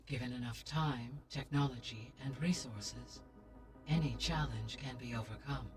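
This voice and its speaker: An actor voicing a calm artificial intelligence that advises the heroine in a formal, measured way, heard slightly distant over game music. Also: male voice, mid-range voice, lightly acted. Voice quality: measured, formal, even delivery. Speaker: game character.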